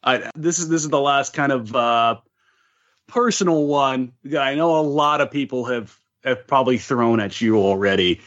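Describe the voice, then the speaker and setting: A man's voice: mid-range voice, moderate pace, a bit bright. A man casually interviewing as a podcast co-host. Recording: slightly dull, lo-fi sound.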